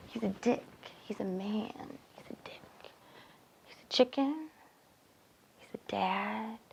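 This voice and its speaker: A woman's voice: fairly low pitch, gravelly, mellow, lo-fi sound, slight background noise. A woman acting a cruel, volatile young woman who mocks and needles in a dramatic monologue. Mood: taunting. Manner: mocking, deliberate.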